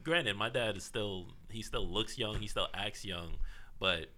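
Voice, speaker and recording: A man's voice: on the low side, unhurried, pushed and bright. A man talking casually as a panelist in a group chat. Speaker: panelist on a talk show.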